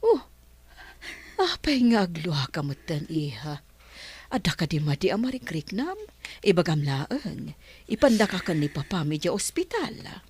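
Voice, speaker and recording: A woman's voice: on the low side, very animated, moderate pace, a little mellow, breathy edge, dry recording. A woman playing a caring middle-aged mother in a radio drama, solicitous, with a Filipino Ilocano accent. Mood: concerned, tender.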